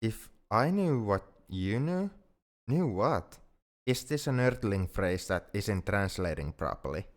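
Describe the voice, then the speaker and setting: A man's voice: lowish voice, big pitch swings, measured pace. A man playing a shy, self-blaming young male alien in an ASMR roleplay, speaking in a hesitant, earnest way. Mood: flustered, distressed. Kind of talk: ASMR roleplay audio drama.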